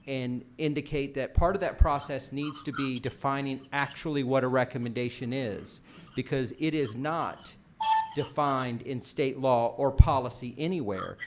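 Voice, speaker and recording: A man speaking as an official in a formal policy meeting, unscripted, in measured bureaucratic phrases with hesitant restarts. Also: medium pitch, a little hiss or hum.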